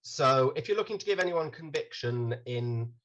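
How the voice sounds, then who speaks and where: A man running a tabletop game as game master, explaining rules in a relaxed way. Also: medium pitch, normal speed, dull audio.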